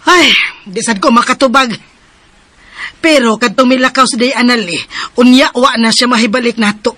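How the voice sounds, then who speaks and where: An actress playing a fretful, worried aunt in a radio drama. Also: female voice, fairly high pitch, moderate pace, bright, forceful tone, slight background noise. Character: worried middle-aged aunt, fretful and scolding.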